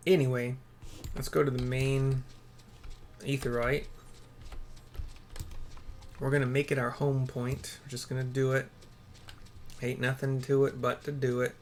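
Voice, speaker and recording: A man's voice: medium pitch, level tone, measured pace, long pauses. A man giving casual game commentary, rambling and muttering to himself as he plays. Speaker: gaming streamer. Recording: faint noise with keyboard typing.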